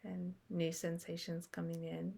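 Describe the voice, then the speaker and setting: A woman leads a guided meditation in a low, gravelly voice. She speaks very slowly and softly and leaves long pauses between short phrases. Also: completely flat delivery.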